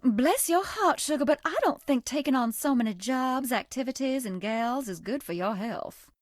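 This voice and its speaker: A sugary, high-voiced Southern belle. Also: female voice, expressive, moderate pace, dry recording, studio quality. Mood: flirty, folksy. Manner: coy, sugary, folksy. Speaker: voice actress. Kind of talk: video game character voice lines.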